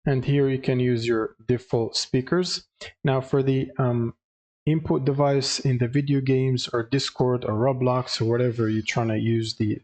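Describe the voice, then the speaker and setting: A man presenting a casual step-by-step software tutorial, explaining with some hesitation. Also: medium pitch.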